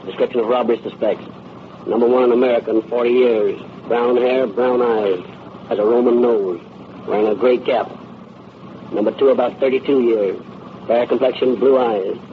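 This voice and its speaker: Man as a male police dispatcher, clipped official delivery, mid pitch, tinny phone-like old radio. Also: moderate pace, slight background noise, natural voice. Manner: clipped, official, monotone bulletin.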